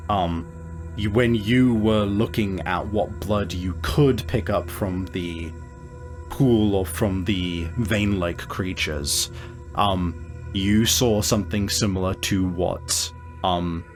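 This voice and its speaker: Lowish-voiced man hosting over music. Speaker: game master and show host. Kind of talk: casual, conversational podcast hosting. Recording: music playing behind the voice.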